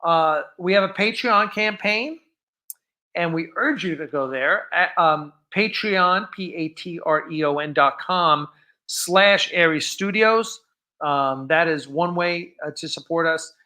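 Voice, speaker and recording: A man hosting a casual, unscripted livestream, talking in a halting, rambling way and often interrupting himself. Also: a little high, pushed and bright. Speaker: livestream host.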